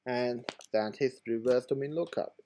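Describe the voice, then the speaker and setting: Hoarse man explaining a computer tutorial. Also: medium pitch, warm tone, a little hiss or hum. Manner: halting, informal explanation with many fillers. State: hoarse, losing his voice. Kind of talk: screen-recorded tutorial.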